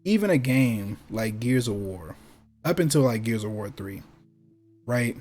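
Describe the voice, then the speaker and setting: A man's voice: medium pitch, lively intonation, a little slow, a little mellow, faint music behind. A man hosting a gaming talk show, chatting casually in an emphatic, opinionated, slangy way with plenty of swearing. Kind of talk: casual chat about video game movies and anime.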